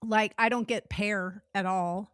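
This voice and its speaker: A woman's voice: medium pitch, fairly even intonation, unhurried, fairly bright tone, clean tone, no echo, studio quality. A woman co-hosting a podcast, chatting casually in a matter-of-fact way. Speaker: podcast co-host. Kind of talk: casual chat.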